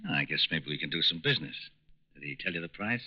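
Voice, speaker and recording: An actor playing a slick, brusque racketeer of about forty with slangy street talk in a 1940s radio crime drama. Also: male voice, very deep voice, lively intonation, fast, husky, exaggerated voice. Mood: hard, pushy. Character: slick fortyish male racketeer selling draft-dodging pills. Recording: muffled 1940s radio recording.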